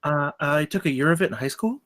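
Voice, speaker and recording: An actor playing an earnest, naive rookie campus security cadet in a comedy table read, excitable and eager. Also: male voice, on the high side, a little fast. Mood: hesitant. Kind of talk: comedy script read aloud.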